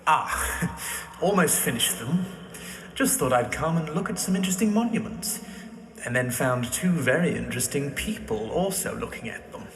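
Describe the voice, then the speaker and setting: An actor playing an idle, smirking young schemer in a period audio drama, with a languid, sly, insolent manner. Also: male voice, fairly high pitch, expressive, moderate pace, a bit bright, recorded from a distance, poor audio. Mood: flippant.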